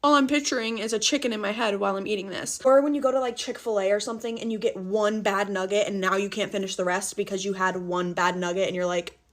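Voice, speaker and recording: A woman's voice: medium pitch, quick pace, few pauses. Exasperated young woman ranting. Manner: animated, exasperated, heavy use of "like". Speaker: TikTok creator. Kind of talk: get-ready-with-me pet-peeve rant.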